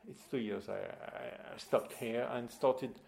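A man from Bordeaux with a French accent, chatting as an interview guest in halting English and searching for his words. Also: mid-range voice, vocal fry, darkish tone. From Bordeaux, France. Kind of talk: casual conversational interview.

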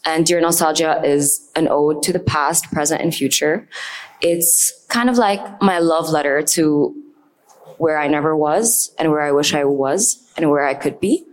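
A woman's voice: low-pitched, unhurried. A woman artist from Lebanon, speaking on a panel on stage in an earnest, reflective way. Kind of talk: panel talk. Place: event stage.

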